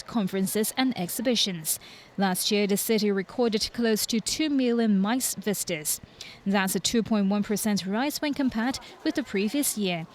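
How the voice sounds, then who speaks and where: A woman's voice: medium pitch, slight background noise. Woman reading a scripted news report.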